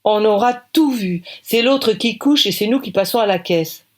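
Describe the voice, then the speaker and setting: A woman's voice: mid-range voice, moderate pace, pitched above the performer's own voice, natural voice. A woman reading aloud, voicing a cynical, sarcastic man. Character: cynical middle-aged man in a tuxedo, sarcastic.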